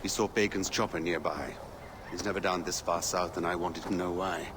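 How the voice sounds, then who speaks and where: An actor playing an earnest male rebel leader in a video game cutscene, intense and brotherly, swinging between hushed and shouted lines. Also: deep voice, fairly even intonation, moderate pace, noisy background, natural voice. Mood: firm.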